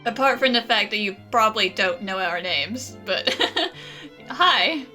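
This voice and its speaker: A woman's voice: mid-range voice, lively intonation, a little slow, pushed and bright. A woman playing a young adventurer hero in a video game, casual, wry and friendly. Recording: music behind the voice.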